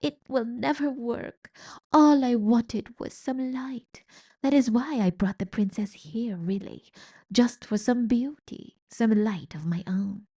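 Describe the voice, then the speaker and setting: A heartbroken male rat, soft and tearful, his words sinking into breathy whispers. A woman reading aloud voices him. He speaks slowly and higher than a plain reading voice. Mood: mournful.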